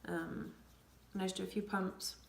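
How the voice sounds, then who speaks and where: A young woman with a sniffly, cold-stuffed voice and plenty of gravelly fry talks casually through a makeup routine. She rambles with lots of fillers and slips into soft near-whispers. The sound is roomy and echoey. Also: mid-range voice, level tone, moderate pace. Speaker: beauty vlogger. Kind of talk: casual makeup tutorial chat. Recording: echoey room.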